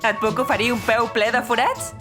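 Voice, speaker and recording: A woman's voice: on the high side, fairly even intonation, normal speed, over music, lightly acted. A woman voicing a sly, greedy, wry middle-aged witch peddler in a cartoon fandub, theatrical and mocking. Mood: salesy.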